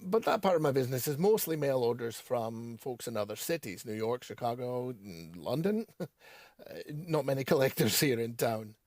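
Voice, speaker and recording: An actor playing a guarded, reluctant small-town bookseller, measured and formal but hesitant, in an audio drama interview. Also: male voice, medium pitch, lively intonation, a little mellow, dry recording, clean studio sound, close to an everyday voice. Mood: polite, businesslike.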